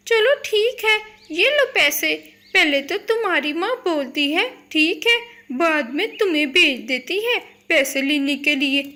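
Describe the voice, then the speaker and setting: A woman voicing an indignant, grumbling male parrot uncle, loud and protesting, in a children's cartoon story. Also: high voice, lively intonation, a little slow, voice pushed up, full character voice.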